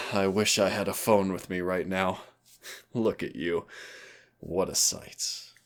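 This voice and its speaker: Casting: male actor as smug demon trainer for audio roleplay, very low register, unhurried delivery, menacing but tender. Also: fairly even intonation, a bit bright, full character voice. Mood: smug teasing. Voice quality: gravelly, low. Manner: slow, smug, teasing, addresses listener directly. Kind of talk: intimate audio roleplay drama.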